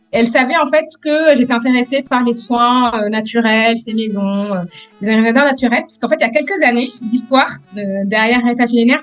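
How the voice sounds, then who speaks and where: A woman in her thirties from France, a guest entrepreneur answering interview questions with many hesitant pauses. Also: a little high. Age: 30s. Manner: hesitant, many "euh" pauses, soft-spoken.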